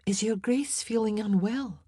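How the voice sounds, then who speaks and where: A woman's voice: medium pitch, unhurried, mellow, husky, no echo, clean studio sound, voice pushed up. A woman voicing a devoted, spirited Scottish lady-in-waiting in an audiobook, emphatic and lilting. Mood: concerned. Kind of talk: audiobook character dialogue.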